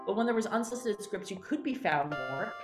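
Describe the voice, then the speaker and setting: A woman's voice: medium pitch, fairly quick, reverberant, music in the background. A woman playwright being interviewed, talking candidly and animatedly and restarting her sentences often. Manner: animated, acts out quoted voices, many restarts. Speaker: playwright guest.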